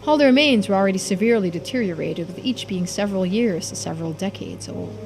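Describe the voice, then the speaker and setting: A woman voicing a diligent assistant investigator in a mystery game, giving formal, measured reports. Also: medium pitch, animated, noisy background, lightly acted. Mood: formal, serious. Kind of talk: voiced visual-novel dialogue.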